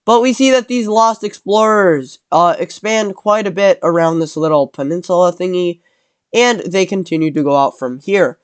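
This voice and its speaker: A man's voice: high-pitched, moderate pace, smooth, no room sound. A man with a cold narrating a map scenario in casual commentary, rambling and correcting himself as he goes. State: has a cold.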